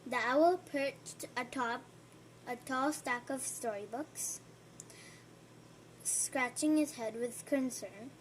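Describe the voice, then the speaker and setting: A woman reading a children's picture book aloud, gentle and unhurried, stopping for friendly asides to her listener. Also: high voice, slight background noise, poor audio. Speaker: storybook reader.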